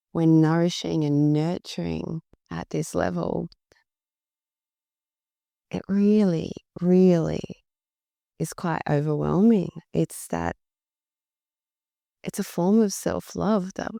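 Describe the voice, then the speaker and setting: Woman podcast host, voice deep and worn from overuse, slow and dark, reflective delivery. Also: dry recording, clean studio sound. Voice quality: deep, gravelly. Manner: slow, reflective, repeats words for emphasis. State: voice worn out from talking a lot. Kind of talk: solo podcast monologue.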